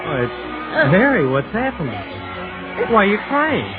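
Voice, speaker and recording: Easygoing, wistful bachelor out courting, gentle coaxing manner, vintage radio drama, thin sound with music underneath. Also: male voice, high voice, big pitch swings, a little slow. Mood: tender. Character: friendly, wistful bachelor courting a shy woman. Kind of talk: 1940s radio drama acting. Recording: tinny vintage radio recording, music behind.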